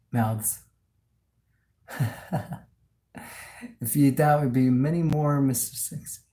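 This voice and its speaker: A man hosting a casual cooking livestream from his home kitchen, talking off the cuff and jokingly as he works. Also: mid-range voice. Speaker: cooking show host. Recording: clearly noisy home kitchen livestream audio.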